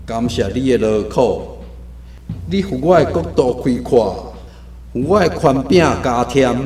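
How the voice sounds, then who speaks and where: Slow, echoing, pompous devil king. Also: medium pitch, lively intonation, dark tone. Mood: gracious, lordly. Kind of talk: Taiwanese radio drama acting. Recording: echoey, distant, with music.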